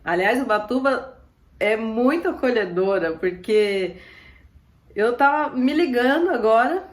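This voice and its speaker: A chatty woman talks straight to the camera, voice rough and hoarse from a cough. Her pitch sits a bit high, and she keeps it upbeat with quick asides. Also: expressive. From Ilhabela, Brazil. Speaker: sailing vlogger. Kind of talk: casual chat to camera.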